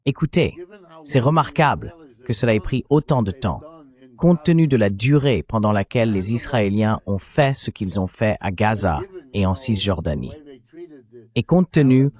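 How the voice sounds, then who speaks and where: Ai dub guy voice, kinda low, reading political commentary, measured and clear wording. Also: expressive, darkish tone. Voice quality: synthetic AI dubbing voice. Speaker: voice-over translator for a panelist.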